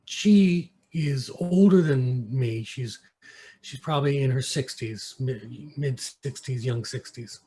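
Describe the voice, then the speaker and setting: A man from New York with an American accent, an interview guest telling stories casually and haltingly, sometimes switching between English and Mandarin. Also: mid-range voice, dark tone. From New York, United States. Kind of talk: casual conversational storytelling. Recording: clear background noise.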